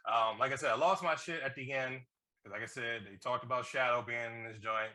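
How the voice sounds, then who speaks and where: A man co-hosting a casual movie review, excitable and rambling, with plenty of slang, swearing and repetition. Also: mid-range voice, fairly quick. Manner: excitable, rambling, repeats himself, swears freely. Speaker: podcast co-host reviewing a movie.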